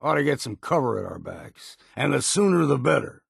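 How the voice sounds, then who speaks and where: An actor playing a gruff, weary old hunter in a video game, grumbling and wary in a folksy, plainspoken rustic drawl. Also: male voice, mid-range voice, big pitch swings, brisk, no room sound, studio quality, exaggerated voice. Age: older. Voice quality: gravelly, husky. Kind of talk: video game character voice lines.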